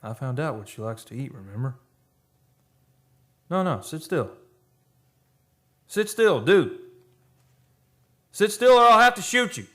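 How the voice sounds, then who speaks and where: An actor playing a haunted, obsessed oil driller confessing a horror in a halting, brooding radio monologue. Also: male voice, on the high side, sing-song, fairly bright tone. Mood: dreamy, unhinged, then menacing, shouting. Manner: halting, brooding, dramatic storytelling.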